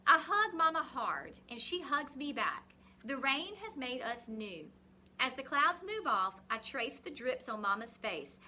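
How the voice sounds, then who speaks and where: A woman teacher reading a picture book aloud expressively to young children, pausing to ask guiding questions and explain things simply. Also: high voice, big pitch swings, bright, forceful tone, a little hiss or hum.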